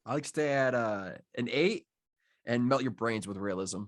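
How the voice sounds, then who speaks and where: A man's voice: medium pitch, normal speed, lightly acted. A man playing a wary, witty streetwise friend, casual and slightly hesitant, in a screenplay table read. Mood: dry, cool.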